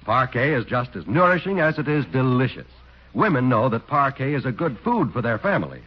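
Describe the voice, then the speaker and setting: A man's voice: mid-range voice, big pitch swings, a little fast, slightly rough. A man announcing and reading an emphatic, hard-sell commercial on a 1940s radio show. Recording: dull old radio broadcast.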